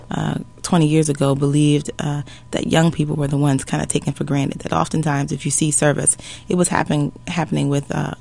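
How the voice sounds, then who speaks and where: A man speaking as a guest on a radio interview about a youth service program, in an upbeat, measured way. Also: a little high, gravelly, darkish tone.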